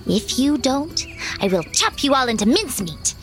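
A woman's voice: high voice, very animated, normal speed, over music, pitched above the performer's own voice. A woman voicing a bold, wily male storybook cat with a theatrical, commanding and sly manner. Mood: commanding, threatening. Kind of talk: children's storybook character voice.

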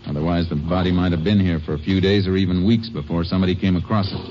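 An actor playing a calm, shrewd Texas Ranger investigator in a 1950s radio drama, talking in a steady, deliberate way. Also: male voice, deep voice, natural voice. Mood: calm, probing. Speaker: lead actor. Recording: dull old radio recording with faint hiss.

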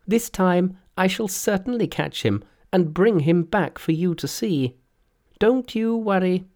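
A narrator voicing a boastful, fearless male monkey king in a storybook reading, loud and mocking. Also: medium pitch, animated, measured pace, voice pushed up. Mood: cocky.

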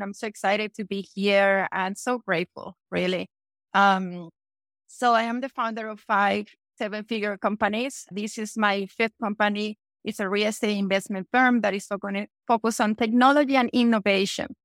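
A woman in her forties from Venezuela, speaking English with a Venezuelan Spanish accent, her voice edged with a gravelly fry. She talks confidently as a podcast guest, stopping often to check in with "okay". The sound is close and dry. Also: medium pitch, fairly bright tone, no echo. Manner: confident, says "okay" often, self-corrects. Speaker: guest entrepreneur. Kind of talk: podcast interview.

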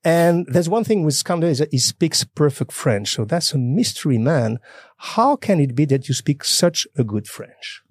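An eager male host with a higher voice asks long, winding questions and keeps chiming in with quick agreements. His pitch rises and falls a lot, and the sound is dry with no room echo. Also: very animated. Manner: eager, with frequent short backchannels and repeated words. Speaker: podcast host. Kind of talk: conversational interview.

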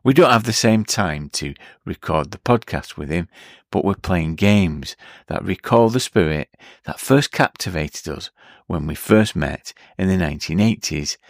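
A man's voice: sits low, lively intonation, measured pace, no echo, clean studio sound. A middle-aged man hosting a podcast, speaking in a reflective solo narration. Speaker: podcast host.

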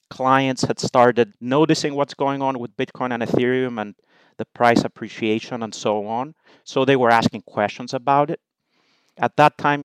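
A middle-aged man from Greece, a guest in an interview, explaining his views in measured, numbered points. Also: medium pitch, clean tone, dry recording. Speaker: investment allocator, guest. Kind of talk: interview answers.

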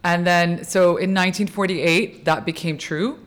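A woman's voice: on the low side, fairly even intonation, moderate pace. A woman born in Kuwait and raised in California, a podcast guest who steadily explains historical background.

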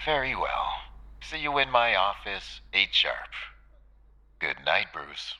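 On a phone call, a weary executive roused from sleep, played by a man, answers with reluctant curtness. The voice is very sharp and edgy, slow, and swings widely in pitch. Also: sing-song, full character voice. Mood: groggy, resigned. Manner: sighing, reluctant, curt. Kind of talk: audio drama acting.

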